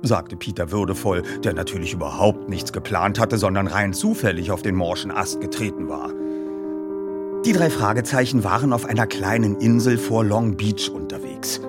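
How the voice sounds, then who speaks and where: A man hosting a feature and reading a story aloud as an expressive narrator. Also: lowish voice, normal speed, loud music behind the voice. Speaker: host and narrator. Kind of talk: podcast introduction and audiobook reading.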